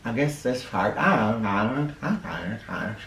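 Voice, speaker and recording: A man reading a children's picture book aloud in an expressive, instructive way, speaking directly to young listeners. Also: on the low side, moderate pace, a little hiss or hum. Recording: roomy echo, distant from the microphone.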